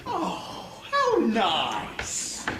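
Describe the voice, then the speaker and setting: A performer playing a melodramatic, queasy older woman schoolteacher in a stage pantomime, with drawn-out exclamations. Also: medium pitch, huge pitch swings, heavily acted. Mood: mock sweet. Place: theatre stage. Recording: very echoey, noisy theatre hall.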